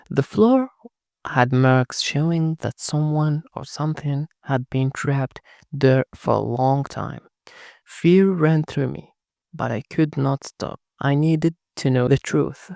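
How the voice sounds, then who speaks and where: A narrator telling a first-person horror story in a hushed, dramatic scripted reading. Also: mid-range voice, expressive, takes it slowly, gravelly, no room sound, clean studio sound.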